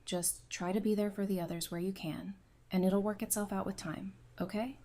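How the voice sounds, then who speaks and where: A woman's voice: medium pitch, fairly even intonation, normal speed, warm tone, close to an everyday voice. A woman playing a caring but hurt psychiatrist in an audio drama, speaking in a candid, conversational way. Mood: reassuring. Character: caring psychiatrist woman, weary and hurt, wryly self-deprecating.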